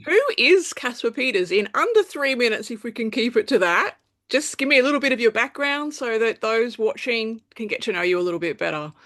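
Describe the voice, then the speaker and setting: A woman hosting an interview, friendly and brisk as she moves the conversation along. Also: a little high, lively intonation, a bit bright. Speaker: interview host.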